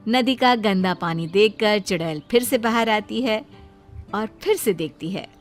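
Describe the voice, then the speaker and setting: A woman's voice: medium pitch, expressive, measured pace, soft background music. A woman storyteller reading a scripted tale as narrator for a children's story.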